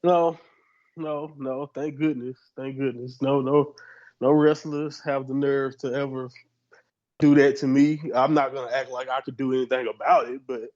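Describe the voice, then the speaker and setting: A man's voice: medium pitch, flat delivery, moderate pace, darkish tone. A man co-hosting a wrestling podcast, laid-back and rambling, laughing at himself while telling a story. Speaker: podcast co-host. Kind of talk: casual chat. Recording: dull lo-fi mic.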